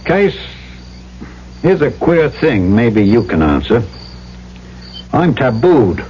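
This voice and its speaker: An actor playing an uneasy, stubborn island trader who narrates and questions dramatically in an old-time radio drama. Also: male voice, medium pitch, sing-song, slow pace, darkish tone, a little hiss or hum. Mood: agitated, demanding. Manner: dramatic first-person storytelling and pointed questioning. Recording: muffled old radio broadcast with music.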